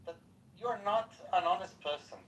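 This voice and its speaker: A man's voice: high-pitched, normal speed, fairly bright tone, reverberant. A man in a heated podcast debate who interrupts and keeps repeating himself insistently. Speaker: podcast panelist. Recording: distant mic, faint background noise.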